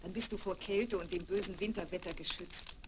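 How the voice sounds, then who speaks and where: An actress playing a kindly, caring mother who gently instructs, in a children's fairy-tale radio play on a 1970s vinyl record. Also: on the low side, steady tone, brisk, heavy background noise, natural voice. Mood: caring, calm.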